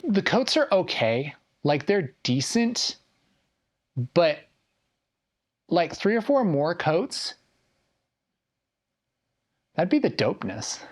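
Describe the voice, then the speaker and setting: A man's voice: fairly high pitch, very animated, takes it slowly, pauses often. A man chatting casually and enthusiastically in an interview. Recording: music playing behind.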